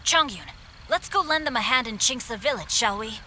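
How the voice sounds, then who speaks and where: A man's voice: very high voice, lively intonation, moderate pace, sharp tone, slight background noise, heavily acted. A voice actor playing a refined, gallant young nobleman in a video game, with formal, courtly phrasing.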